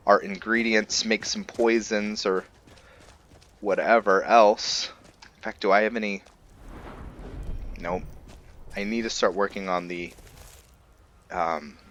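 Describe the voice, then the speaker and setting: A male gamer with a deep voice talks through his roleplay playthrough. He takes his time, musing hesitantly to himself, with faint game ambience behind him. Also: fairly bright tone, slight background noise. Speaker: gaming video host and player. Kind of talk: casual let's-play roleplay commentary.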